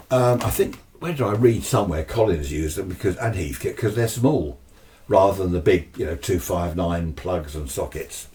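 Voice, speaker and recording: A man's voice: deep voice, darkish tone. A British man giving a casual, chatty explanation, often asking himself questions and answering them. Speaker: amateur radio video presenter. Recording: slightly distant microphone.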